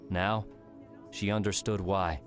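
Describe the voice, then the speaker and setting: Deep voiced guy narrating a novel, faint music underneath. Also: steady tone, slow pace. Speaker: audiobook narrator. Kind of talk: scripted fiction narration.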